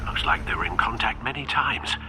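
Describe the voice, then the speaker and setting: An actor playing a loyal, cautious butler and advisor in a video game, formal, measured and polite. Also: male voice, on the low side, animated, background noise, lightly acted. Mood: concerned, advising. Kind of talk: dramatic game voice acting.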